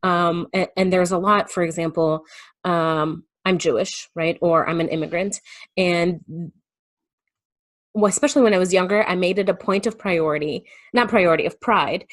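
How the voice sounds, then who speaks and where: A woman guest in a casual, reflective conversation, explaining her thoughts haltingly and often checking that she is being followed. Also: lowish voice, fairly even intonation, a bit bright, no room sound, studio quality.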